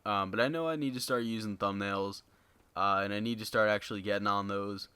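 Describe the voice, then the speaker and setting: Flat-voiced young man rambling to viewers. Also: lowish voice, fairly quick, clear, resonant tone, no room sound. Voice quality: flat, monotone. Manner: rambling, filler-heavy, many "you know"s. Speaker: gaming YouTuber. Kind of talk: casual unscripted commentary to viewers. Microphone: basic low-end home mic.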